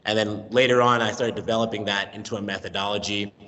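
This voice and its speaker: American guy in his 30s chatting on a remote call, lowish voice, kinda echoey with a long tail, gets animated doing little voices. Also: quick pace. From United States. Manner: animated, acts out voices and accents in his stories. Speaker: guest, language teacher. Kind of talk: casual interview talk.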